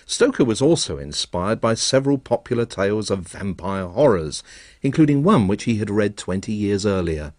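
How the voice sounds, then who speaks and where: A man's voice: fairly low pitch, expressive, moderate pace, a little mellow, no echo. A man presenting a radio arts documentary in scripted narration, with dry, wry understatement. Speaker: programme presenter.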